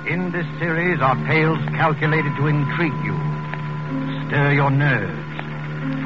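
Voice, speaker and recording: A man hosting a radio drama, narrating in a measured, formal, portentous 1940s broadcast style. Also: medium pitch, lo-fi sound. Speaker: radio drama announcer and host. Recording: band-limited old radio broadcast recording. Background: loud dramatic music.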